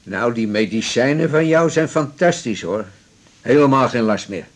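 An actor playing a blunt, chatty, gruffly generous male patient with colloquial joviality in a naturalistic radio drama. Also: mid-range voice, animated, moderate pace, close to an everyday voice.

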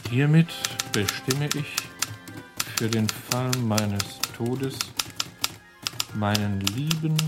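An actor playing a writer who slowly reads out formal letters and documents in a radio drama. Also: male voice, medium pitch, over loud music. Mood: formal, deliberate. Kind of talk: slow scripted reading of letters and documents.

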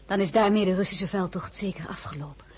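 Casting: woman playing young highness in period radio drama, very breathy, uneasy, medium-pitched. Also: fast talker, lightly acted. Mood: hushed dismay. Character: troubled young royal highness, wife of a crown prince. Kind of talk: Dutch-language period radio drama acting.

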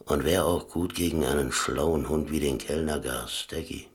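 Casting: wry ex-convict adventurer, radio play, low voice, colloquial, dry, musing delivery. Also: male voice, moderate pace, slight background noise. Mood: musing, rueful. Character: laconic, wry German ex-prisoner and adventurer telling his story. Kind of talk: radio drama acting and first-person narration.

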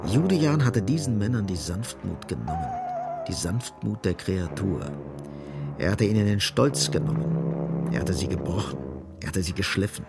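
Biting literary narrator, gravelly voice, highly varied intonation, grave irony, radio drama. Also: male voice. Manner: emphatic, ironic.

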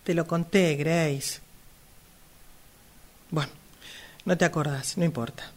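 A woman acting a slow-paced, hesitant Argentine sister. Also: low voice, steady tone. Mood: friendly, hesitant. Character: hesitant sister in love, longtime caregiver. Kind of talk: amateur radio drama acting.

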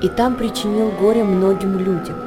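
An actress playing a solemn chronicler of disasters, narrating in a radio drama. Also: female voice, mid-range voice, steady tone, normal speed, over music, lightly acted.

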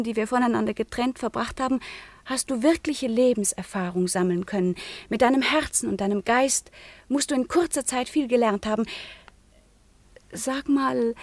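A proud young noblewoman in a radio drama. Her voice is fairly high and dryly recorded, earnest and composed as she probes. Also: normal speed, no room sound. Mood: earnest probing. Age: young adult. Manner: probing, composed, direct. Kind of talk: theatrical radio play acting.

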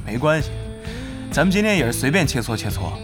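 A man acting in an audio drama as a polite, even-tempered young team captain and peacemaker. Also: medium pitch, fast, music in the background, natural voice. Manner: composed, polite.

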